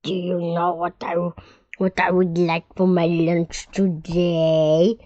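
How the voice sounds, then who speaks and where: A woman's voice: lowish voice, level tone, warm tone, no room sound. Woman voicing a greedy gloating crocodile. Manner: gloating, drawn-out menacing delivery. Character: greedy boastful male enormous crocodile. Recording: muffled lo-fi recording.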